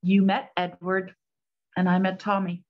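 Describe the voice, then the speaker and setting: A woman's voice: medium pitch, monotone, unhurried, natural voice. A woman playing a proud, matter-of-fact lady detective of the 1920s in a radio-style play. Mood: reminiscing. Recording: dull, muffled lo-fi sound.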